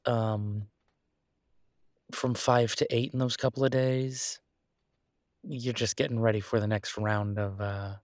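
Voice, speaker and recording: A man's voice: lowish voice, darkish tone, dry recording, studio quality, natural voice. A man playing an easygoing, supportive boyfriend in an intimate one-sided roleplay audio, talking casually and reassuringly with folksy turns of phrase.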